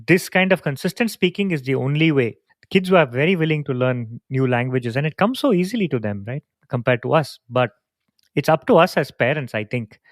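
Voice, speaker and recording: A man from India in a relaxed, reflective podcast conversation, telling family stories. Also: medium pitch, dry recording, clean studio sound. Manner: reflective, storytelling. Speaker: podcast co-host. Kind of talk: casual conversation.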